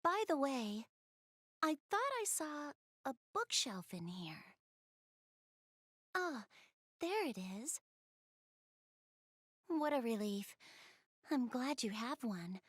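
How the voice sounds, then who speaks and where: A woman's voice: sits high, big pitch swings, takes it slowly, long pauses, fairly bright tone, breathy edge, no room sound, clean studio sound, heavily acted. A woman voicing a shy, bookish young goddess girl in a video game dub, speaking politely and earnestly with bashful hesitation. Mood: relieved, eager. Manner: hesitant, bashful, polite, rambling about hobbies. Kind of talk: English video game dub, visual novel dialogue.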